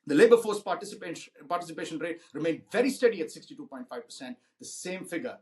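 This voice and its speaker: A man hosting a solo finance podcast, his voice hoarse, explaining economic figures in a conversational way with frequent restarts and fillers. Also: on the high side, animated, fast talker, darkish tone. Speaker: podcast host. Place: indoors in a cold city.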